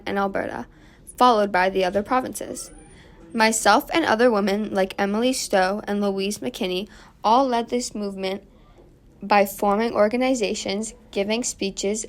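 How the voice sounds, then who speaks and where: A woman's voice: mid-range voice, close to an everyday voice. A woman playing an earnest suffragist guest on a 1920s radio show, explaining things from a script. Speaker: guest activist.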